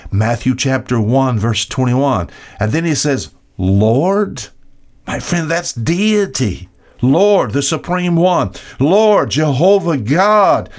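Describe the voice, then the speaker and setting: A man preaching an expository sermon, emphatic, with dramatic pauses and rhetorical questions. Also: mid-range voice. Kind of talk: expository sermon, reading and explaining Bible verses.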